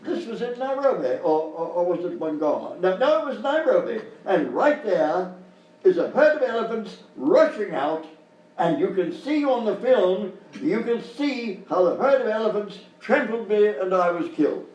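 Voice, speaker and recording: An actor playing a doddering, forgetful elderly gentleman explorer in a live radio-style comedy sketch, rambling theatrically. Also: high voice, sing-song, moderate pace, dull audio. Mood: rambling reminiscence. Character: doddering elderly gentleman big-game explorer, muddled raconteur. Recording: roomy live stage, distant mic, faint noise.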